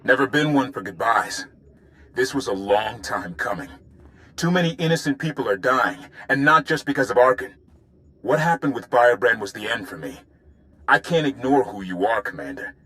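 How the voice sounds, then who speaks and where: An actor playing a disillusioned but resolute pilot in a video game, bitter and resigned. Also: male voice, medium pitch, animated, moderate pace, a bit bright, lightly acted. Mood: bitter resignation. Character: disillusioned, resolute male rebel pilot quitting his cause.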